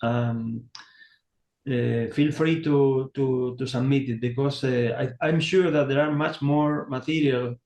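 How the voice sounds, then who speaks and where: A man on an online call, speaking haltingly and searching for words in English. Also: medium pitch, a little mellow. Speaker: community contributor. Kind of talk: online meeting talk. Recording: roomy echo.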